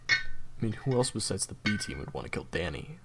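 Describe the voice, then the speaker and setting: A low, gravelly-voiced young assassin in an audio drama, played by an actor. He is cocky yet easygoing, slangy and sweary, his pitch swinging freely through joking banter, over night crickets and hiss. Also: big pitch swings, heavily acted. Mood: skeptical. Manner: slangy, sweary, joking, hesitant drawn-out phrasing. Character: cocky, laid-back male assassin roommate, secretly soft. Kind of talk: audio roleplay acting, casual banter.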